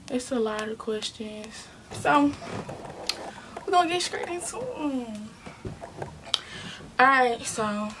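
A young woman of nineteen rambles casually into a camera mic in her small bedroom. Her voice has a gravelly fry, and she often restarts and corrects herself. A faint hiss sits behind her. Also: mid-range voice. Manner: chatty, rambling, restarts and self-corrections, drops into quiet asides. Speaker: vlogger. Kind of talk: casual Q and A talking to viewers.

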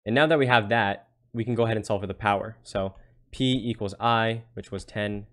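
A man's voice: on the low side, a little fast, a bit bright. A man giving a casual tutorial as a tutor, explaining step by step and often checking in with "right?". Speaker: physics tutor. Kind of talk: casual worked-problem tutorial.

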